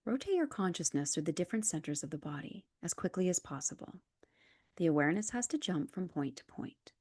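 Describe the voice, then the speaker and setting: A woman leading a guided relaxation as a yoga nidra instructor, calm and measured, with soft, breathy phrase endings. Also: on the low side, dry recording. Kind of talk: guided meditation, scripted instructions.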